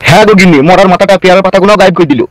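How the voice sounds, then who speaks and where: Man as a bossy, rapid-fire cartoon husband. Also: high voice, lightly acted. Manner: bossy, exclaiming. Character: gruff, domineering village husband and stern father. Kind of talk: comic cartoon dubbing. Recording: music behind the voice.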